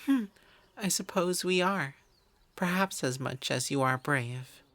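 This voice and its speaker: A woman's voice: deep voice, slow, a bit bright, no room sound, exaggerated voice. A woman voicing a proud female elf wizard in an audio roleplay, prim and aloof, often sighing. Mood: softening.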